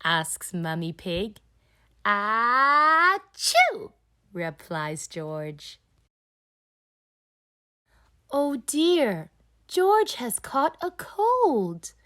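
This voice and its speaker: A woman reading a children's storybook aloud in an expressive, deliberate storytelling style. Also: fairly low pitch. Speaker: storybook reader.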